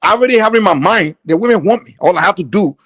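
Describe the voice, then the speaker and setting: A man's voice: sits high, expressive, fast, fairly bright tone, no echo, studio quality. A man from Nigeria with a Nigerian accent, a guest in a heated debate who keeps pressing his point over interruptions. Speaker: guest on a call-in show.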